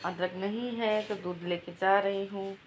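A young woman in her twenties with a hoarse throat chats casually as she films herself around her home and up on the roof. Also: medium pitch, steady tone, unhurried, noisy background. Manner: chatty, walking and filming herself while doing chores. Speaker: vlogger. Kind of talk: casual vlog chat. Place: home kitchen and open rooftop. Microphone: phone held at arm's length.

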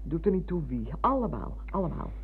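A woman's voice: on the low side, big pitch swings, fairly quick, slightly rough, heavily acted. An actress playing a talkative, insistent older former schoolmistress with a motherly way, in a radio drama. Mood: eager, motherly. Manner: effusive, insistent, hesitating. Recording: muffled, hissy old radio recording.

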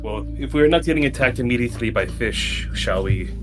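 A man's voice: mid-range voice, animated, fairly quick. A man playing in a tabletop game who talks bluntly and swears among friends. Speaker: player. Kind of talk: casual chat at a game table. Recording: music bed under the voice.

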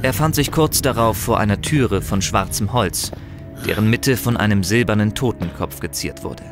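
Narrator for fantasy audio drama: man, lowish voice, grave and dramatic storytelling, clear music bed underneath. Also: moderate pace. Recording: music behind the voice.

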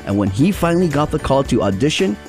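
A man's voice: fairly high pitch, quick pace, faint music behind. A man hosting a TV show, narrating segments in an upbeat presenter style. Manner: upbeat, lively presenter delivery. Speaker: show host. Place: outdoors by the sea.

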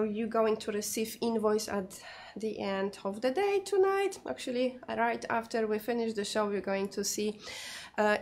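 A woman in her thirties with a raspy voice, hosting a live shopping broadcast from a pottery shop in a chatty, friendly way with many fillers. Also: a little high. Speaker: live sale host. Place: pottery shop with shelves of ceramics.

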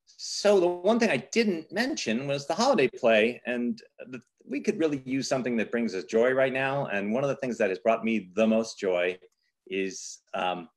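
A man hosting an online event as artistic director, speaking informally on a video call. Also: lowish voice.

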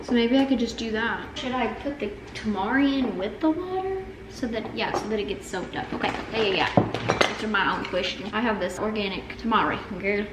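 A young woman with a light lilt rambles cheerfully about her groceries, with plenty of fillers and self-mocking asides. She is picked up by a camera set back on a kitchen counter, so her voice sounds distant and roomy. A steady hiss sits under it. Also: mid-range voice, brisk. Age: young adult. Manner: chatty, rambling, self-deprecating with lots of "like" fillers. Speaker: vlogger. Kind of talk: casual food vlog chat. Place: home kitchen, indoors. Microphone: camera on the counter, some distance away.

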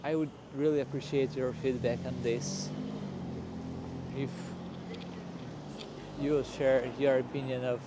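A man with a medium-pitched voice gives a casual walking tour. He talks slowly, breaking his thoughts into short, halting phrases. Clear street noise and passing vehicles sit behind his voice. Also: little pitch movement. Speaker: vlogger hosting a walking tour. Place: outdoors on a city street at night, walking. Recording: noisy outdoor street with traffic. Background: street ambience and passing vehicles.

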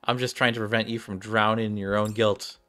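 Bright-voiced man reading game dialogue. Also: lowish voice, flat delivery, a little fast. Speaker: game streamer. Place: small room at home. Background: game music, keyboard typing.

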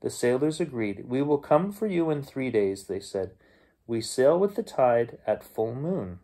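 A middle-aged man reads a children's bedtime story aloud as its host, with expressive storytelling. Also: mid-range voice, a little slow. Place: small bedroom at home, indoors.

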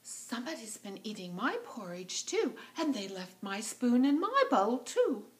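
A woman's voice: on the high side, sing-song, unhurried, voice pushed up. A woman voicing a middle-sized storybook bear who is fussy and put out, complaining emphatically.

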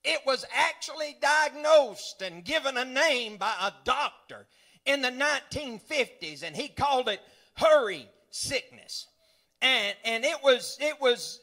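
A middle-aged man preaching a sermon in a folksy, rambling way, full of hallelujahs and rising to shouts. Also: very high voice. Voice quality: folksy, rambling, repeats words. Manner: building to shouts, frequent hallelujahs.

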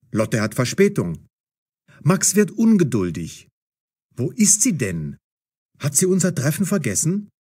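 A man narrates a story for language learners. His mid-pitched voice is a little breathy and husky, with a lively, dramatic melody over short sentences.

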